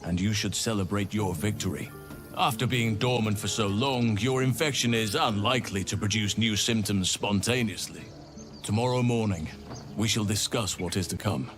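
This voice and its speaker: Man acting grave, lowish-voiced druid leader. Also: close to an everyday voice. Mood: calm, solemn. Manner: measured, solemn. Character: calm, wise druid leader, grave and reassuring. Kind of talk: video game voice acting. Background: music.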